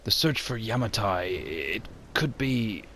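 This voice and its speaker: A man's voice: fairly low pitch, animated, takes it slowly, sharp tone, a little hiss or hum, exaggerated voice. A man voicing an anxious, stubborn archaeologist with a hesitant manner in an amateur motion comic. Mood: earnest, determined. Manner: hesitant, stammering. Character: anxious, stubborn male archaeologist, desperate for funding.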